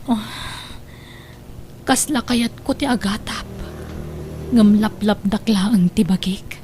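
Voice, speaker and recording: A woman's voice: mid-range voice, slow, darkish tone, a little hiss or hum, close to an everyday voice. A woman playing a worried wife and mother in an audio drama, speaking in an emotive, sighing way. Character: suspicious, worried wife and gentle mother of young children. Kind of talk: Ilocano audio drama acting.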